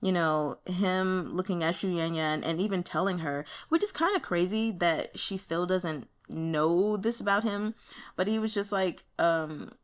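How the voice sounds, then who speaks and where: A woman giving casual, chatty commentary as a host, with an animated, gushing, giggly and expressive manner. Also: lowish voice, measured pace. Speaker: audiobook reader and host.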